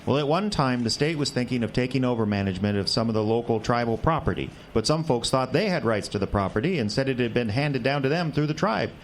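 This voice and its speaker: A man playing a grumpy, folksy older small-town man in an audio drama, gruffly dismissive. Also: medium pitch, animated, fast, few pauses, slight background noise, lightly acted.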